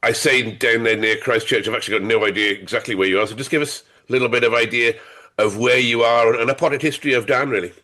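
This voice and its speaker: Male host with a cold, mid-pitched, chatty, quick to joke. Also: steady tone, pushed and bright. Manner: chatty and jokey, laughs often. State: has a head cold, sniffly. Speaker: podcast host. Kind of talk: casual interview.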